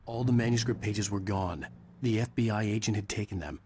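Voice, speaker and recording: An actor playing a haunted, brooding writer who narrates his own dark thriller game story. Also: lowish voice, a little fast, natural voice. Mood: grim. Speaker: protagonist and narrator. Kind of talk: brooding scripted narration and drama. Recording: loud music behind voice.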